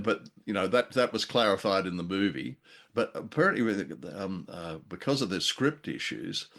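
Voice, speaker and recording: A middle-aged man co-hosting a casual film discussion, halting and jocular in his delivery. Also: low voice, fairly bright tone. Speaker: podcast host.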